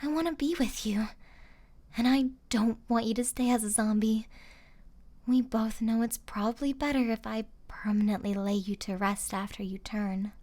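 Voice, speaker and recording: A woman's voice: fairly high pitch, moderate pace, a little mellow, lightly acted. A woman playing a loyal, distraught young survivor of a zombie apocalypse in an ASMR roleplay, with an emotional, pleading delivery. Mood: pleading, upset.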